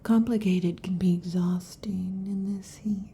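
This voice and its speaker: A woman voicing a possessive, overheated female lamia in an ASMR roleplay, whispering softly and teasingly into a close mic. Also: medium pitch, steady tone, measured pace, slight background noise, lightly acted. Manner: soft intimate whispering, teasing. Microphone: close sensitive mic.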